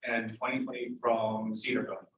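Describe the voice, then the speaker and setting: A man's voice: mid-range voice, flat delivery, brisk, fairly bright tone. A man in his twenties from China introduces himself hesitantly, with many fillers. He sounds distant in an echoey room, with faint background noise. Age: 20s. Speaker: guest.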